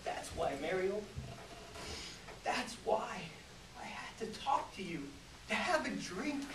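An actor performing a stage monologue as a boastful, self-pitying Hollywood producer, theatrical and halting in delivery. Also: male voice, high-pitched, sing-song, heavily acted. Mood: wistful, pleading. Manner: theatrical, halting, swinging from hushed to shouting. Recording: roomy, distant mic, loud background noise.

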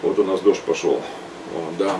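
A middle-aged man, an archbishop, teaching a seminar in a small room at home, pausing often with drawn-out fillers. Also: sits low. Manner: hesitant, many drawn-out "uh" fillers. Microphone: webcam at desk. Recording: distant mic, faint room noise.

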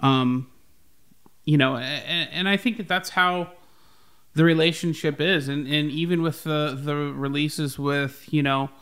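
A man's voice: a little high, steady tone, measured pace, a bit bright, smooth. A young man co-hosting a podcast in casual conversation, earnest and full of "you know" fillers, on a studio mic in a home room. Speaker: podcast co-host, label runner. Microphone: boom-mounted studio mic.

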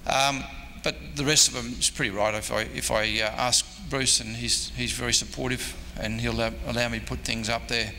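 A man's voice: medium pitch, level tone, fairly quick, gravelly, few pauses, sharp tone. An Australian man in his sixties gives an informal talk, rambling along in a hesitant, self-deprecating way. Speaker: historian giving a convention talk. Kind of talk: informal off-the-cuff lecture and audience questions. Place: indoor convention meeting room. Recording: echoey hall, background noise.

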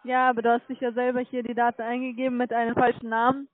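A woman's voice: fairly high pitch, little pitch movement, quick pace. Woman on a tinny phone line. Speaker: call center worker. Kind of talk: casual phone chat. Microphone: telephone.

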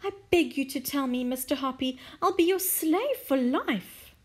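A woman voicing a sweet, kindly lady who dotes on her pet tortoise, speaking in a high, fluttery, pleading way. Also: very animated, takes it slowly, heavily acted. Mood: doting, pleading.

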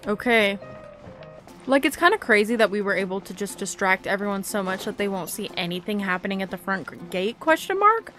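A woman's voice: mid-range voice, lively intonation, a little fast, a bit bright. A young adult woman giving casual gameplay commentary as a gaming host. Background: game music.

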